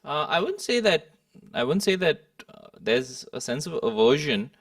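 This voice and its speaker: Male musician, Indian accent, discursive and reflective interview delivery, wide-moving pitch, some vocal fry, a little off-mic. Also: huge pitch swings, normal speed, studio quality. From Kolkata, India. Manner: discursive, thoughtful, peppered with "like" and "you know". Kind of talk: casual reflective interview talk. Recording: roomy, slightly distant microphone.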